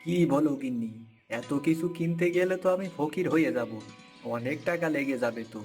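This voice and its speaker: A man's voice: fairly high pitch, expressive, a little fast, a little mellow, soft background music, close to an everyday voice. A man voicing a good-natured poor village farmer and husband in a cartoon fairy tale. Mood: meek.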